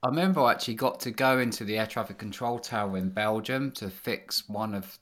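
Low-voiced man, relaxed. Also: brisk. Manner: relaxed, rambling with many fillers. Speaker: podcast guest, engineering manager. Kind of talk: casual interview answers.

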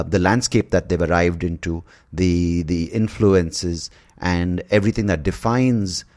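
A man in his forties hosting an interview, asking long, halting questions full of fillers. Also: deep voice, a little mellow. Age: 40s. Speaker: podcast host.

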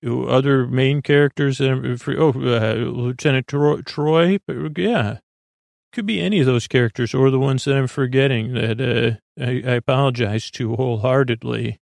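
A man with a gravelly, fry-edged voice of middling pitch rambles as a sleep podcast host. He halts, doubles back and drifts between thoughts, reassuring and undemanding. The sound is close and dry, with no room around it. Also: steady tone, a little mellow, no room sound. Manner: meandering, halting, trailing off with repeated false starts; reassuring, low-pressure. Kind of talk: rambling, unscripted bedtime podcast monologue.

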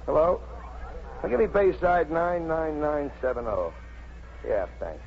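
A muffled, lightly hissy old radio recording carries a harried male police officer. His voice sits fairly high and swings widely as he pushes his point, urgent and stammering, earnest to the core. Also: sing-song, a little slow. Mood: subdued. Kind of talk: 1950s radio drama acting.

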